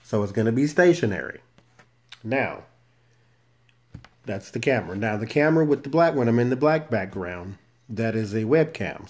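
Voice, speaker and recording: Soft-spoken man with a muffled voice. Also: lowish voice. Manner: quiet, unhurried, never raises his voice. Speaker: tarot reader and channel host. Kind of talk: casual vlog chat to viewers. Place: shared room at home. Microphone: cell phone camera.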